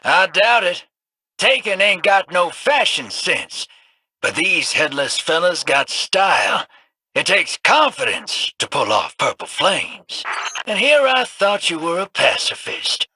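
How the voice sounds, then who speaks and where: Casting: a sly male outlaw gunslinger, folksy husky drawl, bouncy higher voice, unhurried delivery. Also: sing-song, sharp tone, no room sound, heavily acted. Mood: wry. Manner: teasing, calls listener hero and little sister. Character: swaggering roguish male gunslinger, wry and sly. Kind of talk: video game voice lines.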